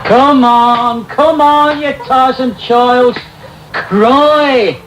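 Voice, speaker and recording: An actor playing an impatient, callous parish surgeon in a radio drama, brusque and dismissive. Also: male voice, extremely high pitch, drawn-out words, heavily acted. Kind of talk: naturalistic radio drama acting. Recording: very noisy medium-wave radio recording.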